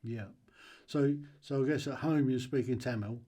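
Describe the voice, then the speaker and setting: A man hosting a podcast, asking relaxed questions with hesitant restarts. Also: mid-range voice, normal speed, crowd noise. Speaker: podcast host. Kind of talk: casual interview.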